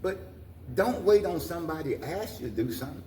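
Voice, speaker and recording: A man's voice: a little high, animated, quick pace, dark tone. An older American man answering interview questions in a folksy, repetitive way, urging people on in plain words. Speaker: guest, community organization president. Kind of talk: interview talk, plain-spoken encouragement. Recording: roomy, distant mic, faint noise.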